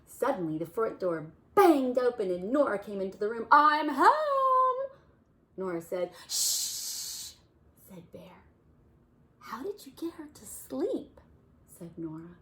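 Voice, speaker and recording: A woman reading a picture book aloud to children, gently asking questions about the pictures. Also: a little high, big pitch swings, slow pace, pauses often. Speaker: children's story reader. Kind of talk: storytime read-aloud for kids.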